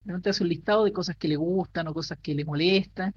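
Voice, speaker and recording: A man co-hosting a podcast, casually recommending a book in a halting way, full of fillers and restarts. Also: high-pitched, slightly rough, dull audio. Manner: halting, many fillers and restarts while explaining. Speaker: podcast co-host.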